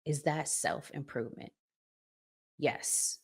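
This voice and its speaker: Low-pitched, slow-talking woman giving advice. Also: fairly even intonation, gravelly, darkish tone, breathy edge. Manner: emphatic, repeats phrases, rhetorical questions. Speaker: relationship vlog host. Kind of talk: conversational relationship advice monologue.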